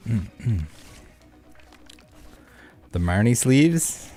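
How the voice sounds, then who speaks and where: A man's voice: medium pitch, sing-song, drawn-out words, warm tone. A man hosting a card game live stream, chatting casually with viewers in a rambling, joking, laid-back way. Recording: music playing behind the voice. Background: background music.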